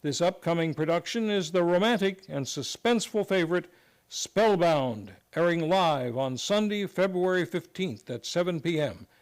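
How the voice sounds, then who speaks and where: A man announcing a scripted radio show sign-off in a formal, polished way. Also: sits high. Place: live on a theater stage.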